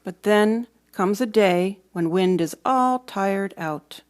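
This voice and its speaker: A woman narrates a children's storybook in a mid-pitched voice. She reads expressively, leaning on dramatic words and dropping into hushed moments. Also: slow. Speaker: storybook reader.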